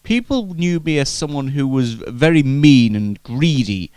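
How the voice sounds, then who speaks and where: A man's voice: mid-range voice, animated, measured pace, darkish tone, clear, resonant tone, no echo, studio quality, close to an everyday voice. A man voicing an elderly reformed Victorian miser in a comedy sketch, formal and hesitant, sometimes testy. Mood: reflective, polite.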